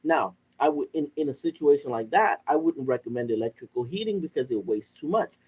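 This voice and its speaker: A man's voice: medium pitch, animated, brisk, a little mellow, husky, low-quality recording. A man from Puerto Rico giving a casual off-grid living talk to camera, rambling with many fillers and restarts.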